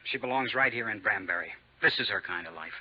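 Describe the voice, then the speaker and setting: A man's voice: lowish voice, expressive, fast talker, slightly rough. An American man playing a plain-spoken, protective small-town fellow in an earnest, homespun 1950s radio drama style. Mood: wistful. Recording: dull, noisy 1950s radio recording.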